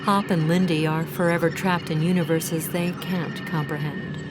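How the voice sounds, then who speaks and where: A woman's voice: sits low, steady tone, moderate pace. A woman giving scripted, dramatic recap narration for an audio drama. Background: loud music.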